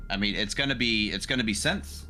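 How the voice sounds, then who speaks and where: A man's voice: fairly low pitch, brisk, bright, forceful tone, a little hiss or hum. A man playing a tabletop roleplaying game, talking casually with the group.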